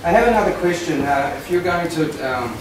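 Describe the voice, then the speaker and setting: Halting man asking questions in echoey room. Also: fairly high pitch, expressive. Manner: halting, lots of uh and like. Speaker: audience member asking questions. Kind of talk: casual group discussion. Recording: echoey room recording from far off, noisy.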